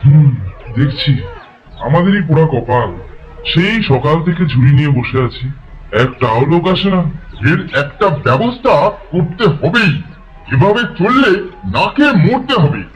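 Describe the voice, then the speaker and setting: A man's voice: on the high side, lively intonation, measured pace, long pauses, lo-fi sound. A man playing a bitter, scheming village basket seller in a fairy tale, grumbling with resentment. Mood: envious, grumbling. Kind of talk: animated fairy-tale voice acting.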